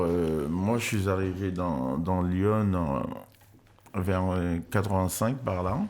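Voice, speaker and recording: Guest: older man, very low voice, slow, halting speech. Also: a little mellow, a little hiss or hum. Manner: slow and halting, many fillers. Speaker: guest, club president and coach. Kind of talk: radio interview.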